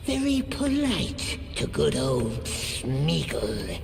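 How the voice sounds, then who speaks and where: An actor playing a wretched, sly little cave creature in a video game, with a hissing, raspy, wheedling voice and childlike grammar. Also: male voice, mid-range voice, wildly swooping pitch, drawn-out words, heavily acted. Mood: crooning, menacing. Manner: wheedling, odd childlike grammar, refers to himself by name. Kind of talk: video game cutscene acting. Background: loud cinematic game music.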